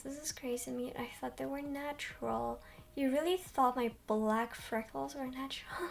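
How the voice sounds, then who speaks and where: Young woman streamer, soft quiet high voice, slow unhurried chat, sits a little back from the mic. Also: vocal fry. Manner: slow, rambling, many ums. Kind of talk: soft-spoken livestream chat. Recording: distant from mic.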